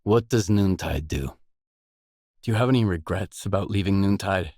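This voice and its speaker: Low-voiced, terse stalker hero. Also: male voice, normal speed, darkish tone, no room sound, clean studio sound. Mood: matter-of-fact, guarded. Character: gruff, matter-of-fact male mercenary stalker, game hero. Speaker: video game protagonist. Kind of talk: video game dialogue voice lines.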